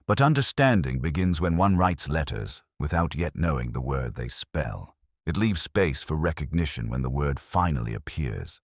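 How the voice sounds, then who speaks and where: A man playing a mild, thoughtful police inspector in a mystery story, speaking in a measured, cryptic and formal way. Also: a bass voice, animated, no room sound, studio quality, full character voice. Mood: mild, musing.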